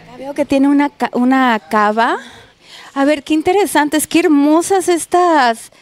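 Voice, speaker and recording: A woman's voice: sits high, a little slow. A woman in her forties from northern Mexico, reporting on location with a handheld mic and gushing with praise. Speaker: reporter host. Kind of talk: on-location food interview. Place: outdoor patio and restaurant interior. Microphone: handheld microphone.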